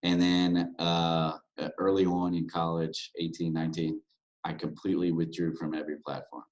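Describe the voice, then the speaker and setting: A man in his thirties, a broker from Louisiana, answering interview questions in a relaxed, candid way with plenty of fillers. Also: deep voice, moderate pace, highs cut off. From Louisiana, USA. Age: 30s. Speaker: guest, real estate broker. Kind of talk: podcast interview.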